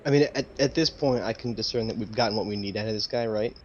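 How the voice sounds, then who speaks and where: A man's voice: on the low side, quick pace, darkish tone, highs cut off. A man playing a tabletop game, talking casually and checking in with the table. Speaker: player.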